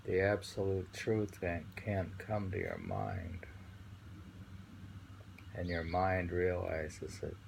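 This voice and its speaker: A man reading poetry aloud and commenting on it informally, in a contemplative way, pausing to muse between phrases. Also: low voice, very animated, creaky, a little mellow, rough recording. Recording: amateur recording with faint background noise.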